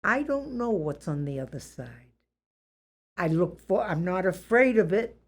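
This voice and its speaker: An elderly woman with a Boston accent, reflecting on her life in an interview in a deliberate, plain-spoken, good-humored way. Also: fairly low pitch, big pitch swings. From Boston area, USA.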